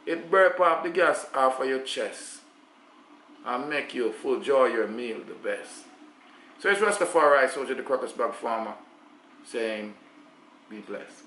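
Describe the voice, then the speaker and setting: A man's voice: medium pitch, bright, forceful tone, reverberant, slight background noise, rough recording. A middle-aged Jamaican man hosting a casual home cooking vlog, talking to the camera in a chatty way with patois asides. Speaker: cooking channel host. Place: home kitchen, indoors. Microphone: camera on the counter.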